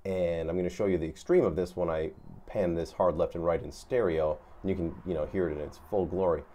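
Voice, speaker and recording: Low-voiced man giving a casual gear tutorial. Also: fairly even intonation, brisk, warm tone. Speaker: guitar effects demonstrator, host.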